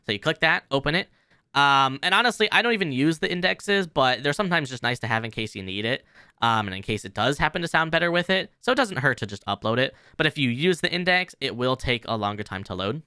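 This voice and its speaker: A man hosting a casual software tutorial. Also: medium pitch, expressive, fast talker, smooth, no room sound, clean studio sound. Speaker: tutorial host.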